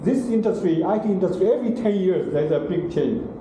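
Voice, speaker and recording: Lecturer echoing in a classroom. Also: male voice, high voice, animated, brisk. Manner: broken English, frequent "okay?" and "right?" check-ins. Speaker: university lecturer. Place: large classroom. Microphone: far room camera. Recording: very echoey classroom from far off, noisy.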